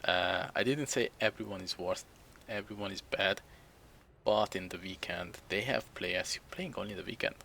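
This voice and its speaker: Low gravelly Hungarian-accented man. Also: moderate pace, sharp tone, slight background noise. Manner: rambling, chuckling, self-deprecating. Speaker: game streamer. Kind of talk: casual gameplay commentary.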